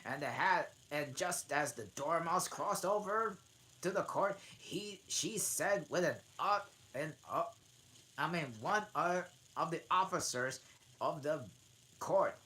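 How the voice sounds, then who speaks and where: Low-voiced woman, slow halting storybook reader. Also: fairly bright tone. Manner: halting, stumbling and re-reading words. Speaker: channel host, reader. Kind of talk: amateur storybook read-aloud. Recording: noticeable background noise.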